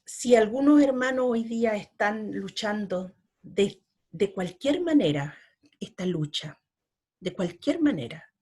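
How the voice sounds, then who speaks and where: Slow, husky-voiced middle-aged woman. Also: mid-range voice, lively intonation, dark tone. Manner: slow and earnest, with repeated words. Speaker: poet, interview guest. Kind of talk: reflective interview talk.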